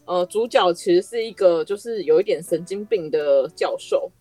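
A woman's voice: fairly low pitch, lively intonation, moderate pace, dark tone, no room sound. A woman with a Taiwanese Mandarin accent hosting a podcast, explaining things in a conversational way. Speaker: podcast host.

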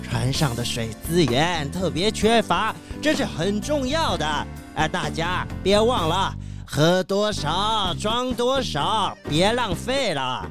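A woman's voice: fairly low pitch, sing-song, takes it slowly, hardly any pauses, music in the background. A woman playing an earnest, eco-minded ship captain in a children's audio drama, explaining things emphatically.

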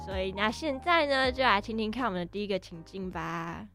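A woman chats casually as a podcast co-host. Her voice has an average pitch, with faint music playing behind it. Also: fairly quick, pushed and bright. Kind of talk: casual chat.

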